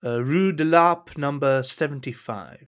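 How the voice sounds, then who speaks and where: A man's voice: on the high side, a little slow, close to an everyday voice. An actor playing a scheming, deferential cardinal advising a king in a period audio drama, with a formal, insinuating, courtly manner.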